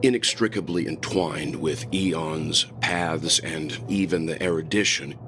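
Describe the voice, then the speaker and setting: An actor playing a courteous, composed and serious male space traveler in a video game, polite and measured. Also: rumbling low, noisy background.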